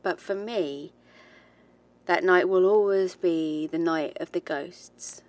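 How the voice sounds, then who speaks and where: Actress as a haunted woman researcher, slightly low pitch, reflective, hesitant, measured storytelling, confessional horror audio diary. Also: darkish tone. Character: haunted, determined woman researcher, British-born, recalling childhood ghosts.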